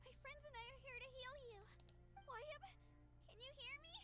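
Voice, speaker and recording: A woman's voice: extremely high pitch, fairly even intonation, normal speed, sharp tone, soft background music. A woman voicing an earnest, tender young girl adventurer in a video game, sincere and emotional. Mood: anxious, pleading. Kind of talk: video game drama acting.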